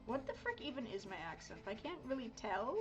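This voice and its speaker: Woman, 30s, from Miami, casual livestream chatter full of fillers, raised pitch, quiet music bed. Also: sing-song, a little fast, lo-fi sound. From Miami, United States. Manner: rambling, chatty, lots of fillers and self-corrections. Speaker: art streamer. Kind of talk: casual chat while drawing.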